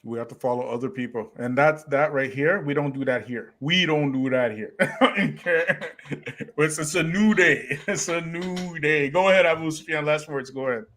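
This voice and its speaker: Animated man hosting a talk show. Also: fairly high pitch. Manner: animated, quick, laughing asides. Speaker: host. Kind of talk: casual chat.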